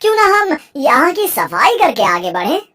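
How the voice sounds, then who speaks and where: An actor plays a scheming sorcerer in a cartoon folk tale, posing as a sweet-talking uncle. The voice is pitched high and swings about widely, coaxing and persuading with an ingratiating manner. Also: wildly swooping pitch, normal speed, pushed and bright, exaggerated voice. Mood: sly coaxing. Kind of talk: animated Hindi moral story acting.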